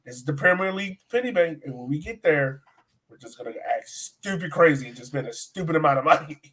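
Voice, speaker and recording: A man's voice: on the high side, animated, fairly quick, a bit bright, husky. A man hosting a sports podcast in a casual, chatty style.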